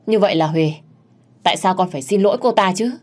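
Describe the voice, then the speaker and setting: A woman voicing a stubborn young housemaid in an audio story, talking back defiantly with a sharp tongue. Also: on the low side, lively intonation, fairly quick. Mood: indignant. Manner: retorting, stubborn.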